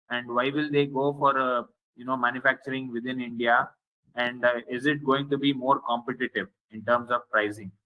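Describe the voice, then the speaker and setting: A man moderating a webinar, thanking the guest and paraphrasing an audience question, speaking hesitantly with frequent pauses. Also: mid-range voice, dull audio.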